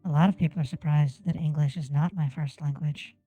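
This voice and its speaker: A woman whose first language is Japanese, chatting on a game stream and enunciating each word deliberately into a desk microphone. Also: low voice, steady tone, warm tone, soft background music, rough recording. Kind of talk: casual gameplay stream chat. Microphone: desk microphone on an arm.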